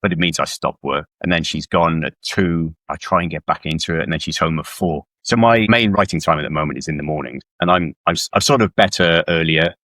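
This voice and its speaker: A man's voice: extremely low pitch, brisk, hardly any pauses, fairly bright tone, no room sound. A middle-aged man from South Wales talking casually and informally as an interview guest, with a self-deprecating manner. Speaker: guest author. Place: indoors at home on a video call.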